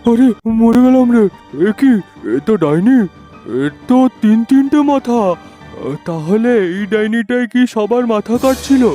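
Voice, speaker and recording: A cowardly, excitable cartoon villager, played by a man in an extremely high voice. He whines and exclaims as a comic figure in women's disguise, over light background music. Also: a little slow. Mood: panicked. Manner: whining, exclaiming. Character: cowardly, panicky male villager in female disguise. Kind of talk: comic horror cartoon acting.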